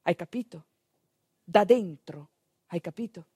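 A woman acts out a village midwife in a theatre monologue. She keeps her voice low and calm, repeating simple instructions with patient reassurance. The sound is dry and intimate. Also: dry recording, heavily acted. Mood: calm, reassuring. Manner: low-voiced, clear, slow and repetitive. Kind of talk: stage monologue acting.

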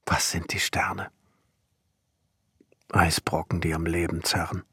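An actor playing a proud, brooding seventeenth-century alchemist and glassmaker in a poetic radio play, solemn and halting, often sinking towards a whisper. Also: male voice, sits low, little pitch movement, sharp tone, breathy edge, dry recording, studio quality, exaggerated voice. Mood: bleak, brooding.

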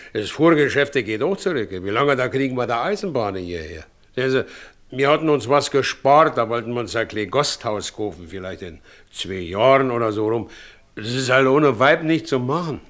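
An actor in a German radio play voicing a humble, troubled middle-aged widowed carriage driver, plain-spoken and halting. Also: male voice, medium pitch, a bit bright, lightly acted.

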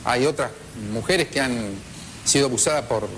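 A man with an Argentine accent giving spoken testimony, halting and repeating himself as he searches for words. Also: medium pitch, a bit bright, slight background noise.

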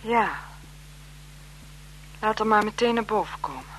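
A woman's voice: medium pitch, lively intonation, unhurried, a bit bright, a little hiss or hum, poor audio. An actress playing a formal, guarded French marquise, a bored wife and mother, in a 1970s Dutch radio drama. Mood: tense. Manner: formal, hesitant, evasive with her companion.

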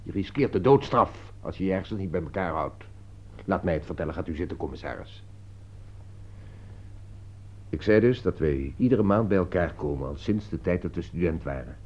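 A man acting an articulate, insistent gentleman who persuades and commands as he defends a friend in a Dutch radio play. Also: low-pitched, expressive, moderate pace, long pauses. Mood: measured explaining. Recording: dull, lo-fi radio play recording.

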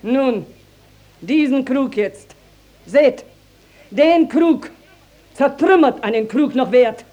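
High-voiced, indignant village matron. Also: female voice, expressive, slow pace, long pauses, dark tone. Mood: indignant, proud. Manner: emphatic, declamatory, dramatic storytelling. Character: indignant, garrulous village mother, proud and accusing. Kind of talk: classic stage-style radio drama acting.

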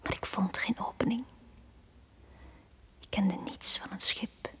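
An actress playing a drowned young girl in a radio play, telling her story in a hushed, breathy whisper. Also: medium pitch, steady tone, slow pace. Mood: hushed, fearful. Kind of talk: dramatic radio play monologue.

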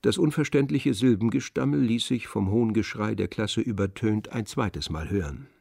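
A man's voice: lowish voice, normal speed, gravelly, a little mellow, no echo, studio quality. A man reading literary narration as the storyteller of a radio play.

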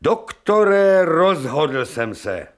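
An actor playing an authoritative, brooding and eccentric professor-surgeon in a radio drama, with a commanding, theatrical manner. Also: male voice, a little high, sing-song. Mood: resolved.

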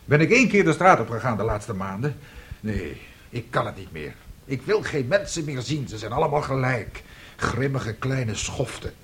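A man's voice: mid-range voice, very animated, moderate pace, a bit bright. Radio drama: ageing artist husband and father, middle-aged, self-pitying, plaintive, wistful dreamer. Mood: despairing, self-pitying. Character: aggrieved, dreamy, ageing failed composer and father.

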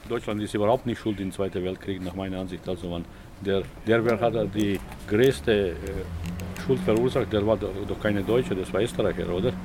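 Male passer-by, low voice, halting opinionated talk, outdoors with clear traffic noise. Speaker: passer-by being interviewed. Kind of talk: spontaneous opinionated street talk. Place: outdoors on a street.

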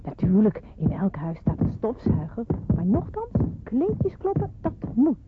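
A woman's voice: medium pitch, wildly swooping pitch, unhurried, loud music behind the voice. A woman playing a young refugee girl who narrates her wartime youth in a radio drama. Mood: amused. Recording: noisy, narrow-band old radio recording.

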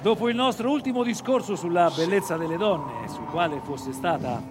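An actor playing a courteous, effusive scholar, declaiming in florid, ceremonious phrases in a verse drama. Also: male voice, high-pitched, lively intonation, normal speed, noisy background. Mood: ingratiating. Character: courteous, effusive male scholar, admiring friend and flatterer. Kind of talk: stage verse drama, radio broadcast. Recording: music under the voice.

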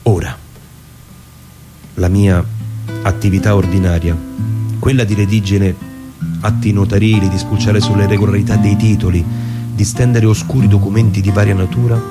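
A man playing a prudent, unambitious elderly lawyer in a formal, deliberate literary monologue with pauses. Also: fairly low pitch, little pitch movement, natural voice. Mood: calm, deliberate. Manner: measured, deliberate, pausing, formal. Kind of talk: literary dramatic monologue reading over music. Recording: radio reading with loud guitar music. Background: plucked guitar music.

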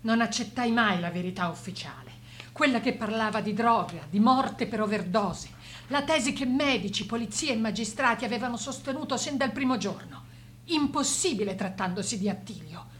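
A woman's voice: on the high side, lively intonation, moderate pace, a bit bright, slight background noise. An actress playing an older grieving mother who refuses to believe, in a pained, dramatic radio drama monologue. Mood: pained, indignant. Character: grieving, unbelieving mother of a dead doctor.

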